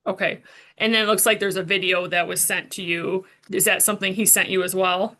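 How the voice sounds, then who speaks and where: A woman's voice: mid-range voice, steady tone, fairly quick, sharp tone. A woman working as a prosecuting attorney, questioning a witness formally and step by step in court.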